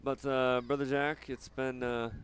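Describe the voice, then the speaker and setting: A man doing live soccer commentary outdoors, chatting casually and haltingly between plays. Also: mid-range voice, flat delivery, smooth. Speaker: sports play-by-play announcer.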